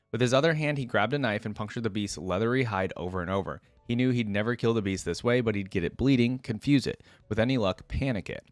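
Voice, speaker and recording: A man with a low voice and a dry, close sound. He reads a story aloud as a reaction host, moving between dramatic narration and casual comment. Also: no echo.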